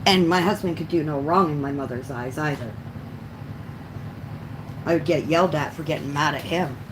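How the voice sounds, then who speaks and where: A middle-aged woman from Canada chatting casually on a livestream in a halting, rambling way, often clearing her throat. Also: deep voice, background noise. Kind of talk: casual rambling chat while coloring.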